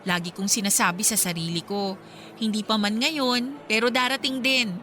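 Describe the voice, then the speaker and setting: A woman acting in a Filipino radio drama as a devout, hopeful friend, earnest and expressive. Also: medium pitch, moderate pace, lightly acted. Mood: tearful faith, earnest.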